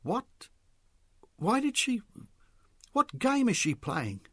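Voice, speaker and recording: Man playing an agitated, high-strained betrayed husband. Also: animated, quick pace, no room sound, studio quality. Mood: shocked, disbelieving. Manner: agitated, urgent questioning. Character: agitated, bewildered working-class husband, betrayed and angry.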